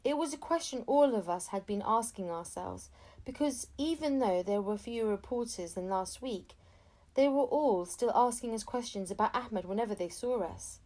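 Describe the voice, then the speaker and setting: A woman's voice: on the high side, normal speed, warm tone. A woman reading a children's story aloud as an audiobook narrator, expressively and acting out the dialogue. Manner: acts out dialogue between narration. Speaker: audiobook reader.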